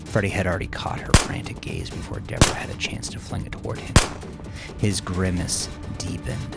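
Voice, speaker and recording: A man with a gravelly voice reading fiction as a dramatic, intense and deliberate audiobook narrator. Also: deep voice. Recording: music behind the voice. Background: music.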